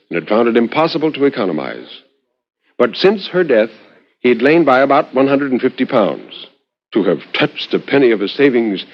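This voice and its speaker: Man narrating expressively on dull 1950s radio. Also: medium pitch. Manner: measured, expressive, lingering on phrases with dramatic pauses. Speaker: narrator reading a short story on a radio horror anthology.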